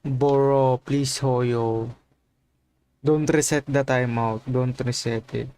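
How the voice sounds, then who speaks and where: A man's voice: medium pitch, steady tone, takes it slowly, darkish tone, clear, resonant tone. A man chatting casually on a gaming livestream, using informal slang and often repeating his phrases.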